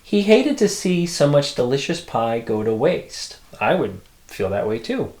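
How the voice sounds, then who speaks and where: A man's voice: medium pitch, very animated, measured pace, a little mellow, echoey room. A middle-aged man reading picture books aloud as a storyteller, adding asides full of childlike wonder. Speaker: storyteller, host.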